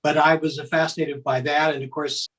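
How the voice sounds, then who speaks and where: Husky, higher-pitched man reminiscing. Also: little pitch movement, moderate pace, fairly bright tone, low-quality recording. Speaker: interview guest, longtime fan. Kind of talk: conversational reminiscing.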